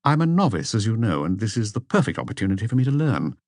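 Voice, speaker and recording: A man's voice: lowish voice, very animated, quick pace, darkish tone, no echo, clean studio sound. A man voicing a cold, polite and precise young examining magistrate in an audiobook. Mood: coolly polite.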